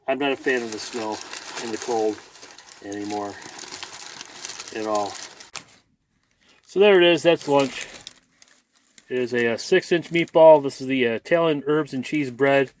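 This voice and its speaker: A man's voice: medium pitch, animated, measured pace, long pauses, slight background noise, poor audio. A man with a folksy, rambling manner, chatting casually as a vlogger inside a truck cab. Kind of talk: casual vlog chat.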